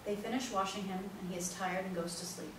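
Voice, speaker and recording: A woman's voice: medium pitch, steady tone, quick pace. A woman reading stage directions as scripted narration for a play reading. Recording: echoey room, distant mic, noisy.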